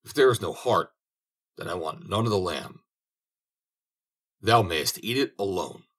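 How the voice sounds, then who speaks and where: A man's voice: low-pitched, lively intonation, unhurried, fairly bright tone, exaggerated voice. A man voicing a stern, saintly old man who speaks in solemn, archaic phrases.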